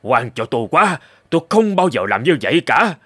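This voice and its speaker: Anxious, loyal household steward. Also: male voice, fairly high pitch, very animated, a little fast, bright, forceful tone, breathy edge, no echo, clean studio sound, full character voice. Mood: pleading, earnest. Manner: deferential, pleading. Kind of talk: animated folktale acting.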